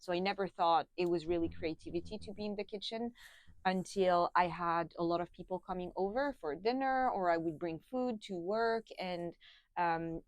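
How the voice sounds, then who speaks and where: A young woman from France, a guest on a podcast, chatty and laughing often, with many pauses and restarts. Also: lowish voice, dry recording. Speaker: guest, food brand founder. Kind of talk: casual interview.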